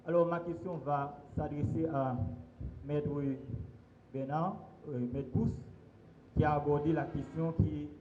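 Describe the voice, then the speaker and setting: A man's voice: a little high, fairly even intonation, unhurried, mellow, slight background noise. A man in the audience asking a formal, slightly hesitant question at a legal conference. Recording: muffled, echoey hall.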